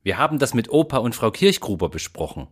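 Man acting a husky, animated, skeptical dad. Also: mid-range voice, quick pace. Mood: reassuring. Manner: questioning, explaining. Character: skeptical, practical father of a young girl. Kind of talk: children's radio play acting.

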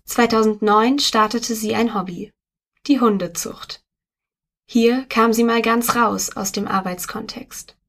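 Female narrator reading print copy aloud, steady and measured, on the higher side, close mic. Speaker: article reader. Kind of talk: scripted narration of a magazine article.

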